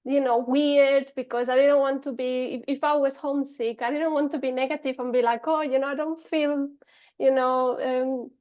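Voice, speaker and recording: A woman's voice: sits high, steady tone, moderate pace, dull audio. A Spanish-accented woman in her thirties, a podcast guest talking casually with many fillers and restarts. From Spain. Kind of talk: casual interview talk.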